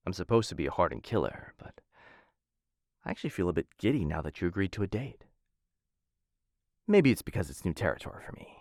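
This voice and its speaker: A low-voiced, smitten assassin played by a man in a boyfriend ASMR roleplay. His delivery swings widely in pitch, with a gravelly fry. He speaks in polished, courtly phrases and drifts into hesitant, softer asides. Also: dry recording, studio quality. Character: smitten male assassin of noble house, suave, courtly, playful. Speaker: voice actor in a boyfriend roleplay audio. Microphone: close studio-style mic.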